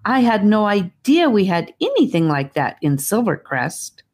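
A woman's voice: mid-range voice, very animated, unhurried, a little mellow, pitched above the performer's own voice. Young girl adventurer played by a woman, wide-eyed and wondering, children's fantasy audiobook. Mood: amazed.

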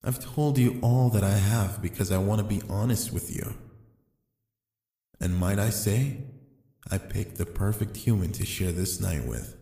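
A man playing a calm, sly, seductive fallen angel in an intimate ASMR roleplay, speaking slowly and deliberately to the listener. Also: on the low side, creaky. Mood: seductive, coaxing. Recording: echoey, long reverb.

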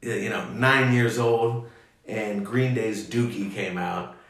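A man's voice: on the low side, steady tone, slow, fairly bright tone, distant mic. A man talking casually about his musical roots, rambling with lots of fillers and restarts. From United States. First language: Greek (bilingual with English). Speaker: musician guest. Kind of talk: casual conversation. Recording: echoey room, voice off-mic.

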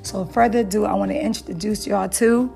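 A woman's voice: medium pitch, steady tone, fast talker, vocal fry, mellow, faint music behind. A woman hosting a casual interview show, talking in an upbeat, emphatic way as she hypes up her guest. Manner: upbeat, emphatic, hype-building. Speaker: podcast host and hairstylist.

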